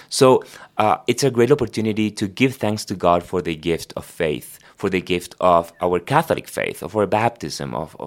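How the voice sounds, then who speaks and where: A priest from Argentina whose first language is Spanish, speaking English with an Argentine Spanish accent and explaining faith reflectively in a podcast chat. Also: male voice, low voice. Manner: reflective, explanatory, with frequent "uh" and "I mean". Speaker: priest guest. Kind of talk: conversational religious podcast talk.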